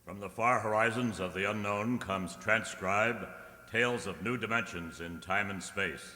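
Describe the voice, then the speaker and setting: Man as deep, forceful sci-fi radio announcer. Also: normal speed, soft background music. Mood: portentous. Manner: dramatic, portentous. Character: booming old-time science fiction radio announcer. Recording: echoey reverberant hall.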